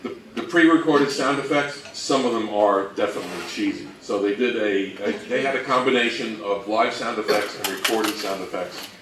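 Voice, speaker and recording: A man giving an informal, explanatory talk on radio history as a presenter, speaking somewhat haltingly. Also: lowish voice. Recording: roomy, distant mic, faint noise.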